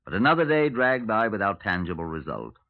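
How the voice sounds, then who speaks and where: A muffled old radio recording of a middle-aged police superintendent. His low voice swings freely in pitch while staying dry and methodical. He sounds world-weary and formal, choosing words with deliberation. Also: very animated, a little fast. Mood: gloomy narration. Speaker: detective and narrator. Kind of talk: 1950s radio crime drama. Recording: muffled, narrow-band 1950s radio recording.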